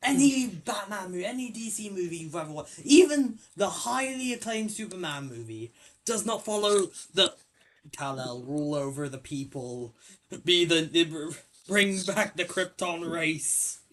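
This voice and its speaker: A young man hosting a casual film discussion podcast, hesitant with many restarts and sudden jumps in loudness. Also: high-pitched, lively intonation, a little slow. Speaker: podcast host.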